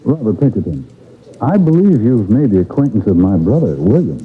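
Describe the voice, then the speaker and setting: An actor playing a cool, authoritative detective, dryly probing, in a 1970s radio mystery drama. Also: male voice, fairly low pitch, sing-song, a little fast. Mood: quietly menacing. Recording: dull, faintly noisy vintage radio broadcast.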